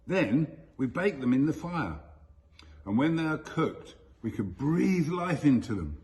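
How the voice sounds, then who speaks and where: A man voicing an eager, persuasive female spirit person in a storybook, with measured, coaxing delivery. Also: on the high side, very animated, a little mellow.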